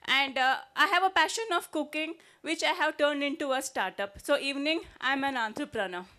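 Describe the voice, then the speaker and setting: A woman's voice: high voice, fairly quick, sharp tone. A woman from India, earnestly asking a panel a question from the audience.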